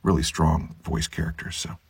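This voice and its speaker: Male audiobook narrator, casual explainer, deep gravelly voice, dry close sound. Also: expressive, moderate pace, no echo. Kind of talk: casual explanatory chat to viewers.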